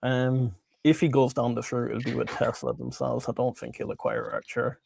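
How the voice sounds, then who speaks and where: A man's voice: mid-range voice, little pitch movement, moderate pace, vocal fry, a little mellow, a little hiss or hum. A man hosting a casual livestream, reading out viewer comments and answering in an informal, matey way. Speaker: livestream host.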